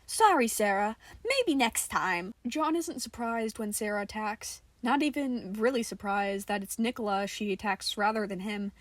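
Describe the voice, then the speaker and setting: A woman's voice: medium pitch, no echo. A woman narrating a scripted story as an amateur podfic reader.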